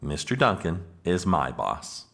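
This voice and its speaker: An actor playing an officious, exasperated American presidential aide in a comedic audio drama, speaking formally and managing others with strained patience. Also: male voice, low-pitched, very animated, a little slow, vocal fry, a bit bright, heavily acted. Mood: stern.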